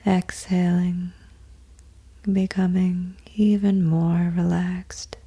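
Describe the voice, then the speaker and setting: A woman leading a guided yoga nidra meditation in a soft, breathy near-whisper, speaking gently in short phrases with long pauses. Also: on the low side, level tone. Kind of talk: slow guided meditation, soothing instruction.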